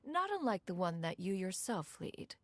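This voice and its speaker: A woman's voice: mid-range voice, big pitch swings, moderate pace, no echo, studio quality. A woman voicing a proud, aloof immortal crane adeptus in human form, speaking in stiff, archaic formal phrases for a video game. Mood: stiff, hesitant. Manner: stiffly formal, archaic, calls herself "one". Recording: game music behind.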